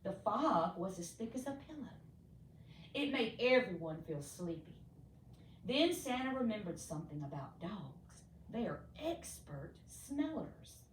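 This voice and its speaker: A woman reading a children's storybook aloud expressively, with theatrical, deliberate emphasis for young listeners. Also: medium pitch, very animated. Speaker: storybook reader. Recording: echoey room, distant mic, faint noise.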